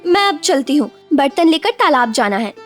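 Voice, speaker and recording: A woman voicing a devoted, sensible young village wife in an animated moral story, with a caring, expressive and dramatic manner. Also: high-pitched, moderate pace, faint music behind. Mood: playful, brisk.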